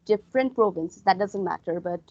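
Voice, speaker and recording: A woman's voice: fairly low pitch, fairly quick, a little mellow, dry recording. A young woman from Bangladesh talking over a video call. Her voice sounds dry and slightly muffled, and she speaks earnestly, often repeating her phrases. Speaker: graduate student. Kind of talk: casual academic discussion.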